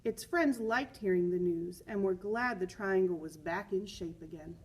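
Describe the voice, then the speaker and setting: A woman's voice: fairly low pitch, normal speed, husky, low-quality recording. A woman reading a children's picture book aloud as a teacher, expressively, stressing words and adding chatty asides. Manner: expressive, with emphatic repeated words.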